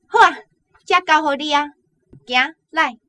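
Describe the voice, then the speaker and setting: A woman's voice: high-pitched, measured pace. A woman with a Taiwanese accent playing a bossy, meddling boss's wife who orders others about in a radio drama. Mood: brisk, bossy.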